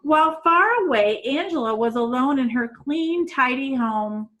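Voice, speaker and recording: Animated, slow-paced woman reading a storybook. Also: high voice, rough recording. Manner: dramatic, deliberate storytelling. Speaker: children's picture-book reader. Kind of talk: expressive storybook read-aloud.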